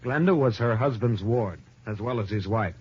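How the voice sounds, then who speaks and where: A man's voice: fairly low pitch, normal speed, husky. A man playing an earnest, anguished young accountant in an old radio detective drama, dramatic and insistent. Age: young adult. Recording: dull, muffled old radio recording.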